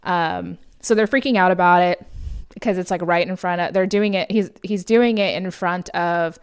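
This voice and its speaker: A woman's voice: fairly low pitch, moderate pace, smooth. An American woman co-hosting a podcast, rambling through a movie recap with lots of fillers while losing her voice. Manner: rambling, filler-heavy, laughs often. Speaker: podcast co-host. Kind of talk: casual movie review chat.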